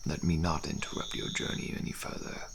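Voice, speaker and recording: A man playing a weary, humble deserter soldier in a fantasy ASMR roleplay, speaking in measured, formal phrases with a breathy, gravelly half-whisper. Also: noisy background, close to an everyday voice. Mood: solemn farewell. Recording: steady background night insects, crickets.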